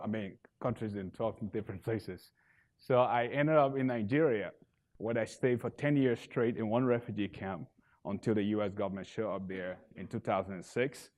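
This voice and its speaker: A man in his thirties from Liberia with a Liberian accent, giving an earnest stage pitch for his nonprofit that builds to emphatic bursts. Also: a little mellow. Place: event stage.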